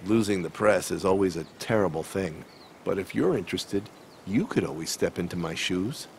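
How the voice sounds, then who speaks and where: A man's voice: deep voice, animated, moderate pace, creaky, a little hiss or hum. An actor playing a kindly, melancholy older newspaperman in a video game, wistful and slightly literary in his phrasing. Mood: gentle, wistful. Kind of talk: video game cinematic dialogue.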